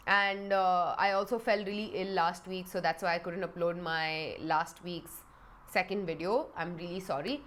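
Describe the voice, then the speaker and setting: Young woman vlogging at home, bit stuffy and nasal from a cold, chatty and frank, soft hiss behind. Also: fairly low pitch, steady tone, moderate pace. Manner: chatty, frank, laughs often. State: congested, slightly nasal. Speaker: beauty vlogger. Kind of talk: casual chat to camera. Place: home in Dubai.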